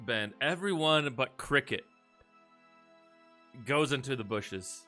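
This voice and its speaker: A man on a headset runs a tabletop game over video call, his voice bright and lively with a lot of up and down. He is chatty and quick to laugh. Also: mid-range voice, soft background music. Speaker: dungeon master.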